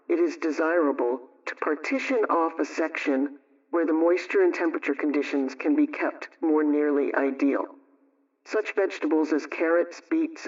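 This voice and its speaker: A man's voice: on the high side, darkish tone. A man presenting scripted household advice on radio, reading questions and answers through a dull, distant-sounding channel.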